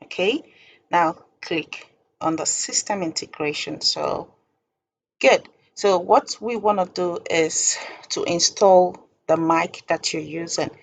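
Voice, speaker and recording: Female tutorial presenter, low register with gravelly fry, informal and deliberate instruction. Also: slight background noise. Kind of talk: informal step-by-step screen tutorial.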